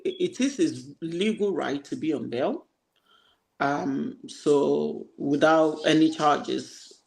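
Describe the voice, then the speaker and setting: A man on an online panel, speaking in a relaxed, agreeable and measured way. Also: on the high side, gravelly, rough recording. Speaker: panel guest. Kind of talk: casual panel chat.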